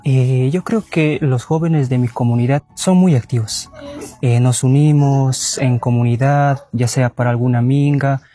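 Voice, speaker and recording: Young Ecuadorian man, husky and soft-toned, hesitant pacing. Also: medium pitch. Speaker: interviewee.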